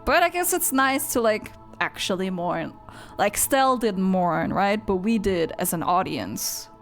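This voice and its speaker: Excitable young woman streamer, lively pitch. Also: unhurried. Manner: excitable, gasps and squeals, then drops to flat rambling. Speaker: streamer reacting to a game story. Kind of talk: casual live reaction commentary. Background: game music.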